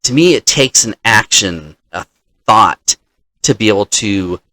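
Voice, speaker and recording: Man telling a tabletop game story, slow-paced. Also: lowish voice, animated, sharp tone, no room sound. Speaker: host, dungeon master.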